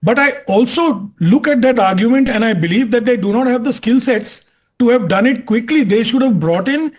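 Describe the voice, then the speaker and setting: An Indian-accented man comments as an expert on a news panel. His voice is strikingly high for a man, and he argues each point with emphasis. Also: expressive, normal speed. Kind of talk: TV debate commentary.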